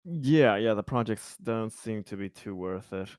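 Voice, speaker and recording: A man's voice: lowish voice, expressive, unhurried, a little mellow, clear, resonant tone, dry recording, studio quality. A man playing a tabletop game, chatting casually about the rules. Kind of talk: casual chat.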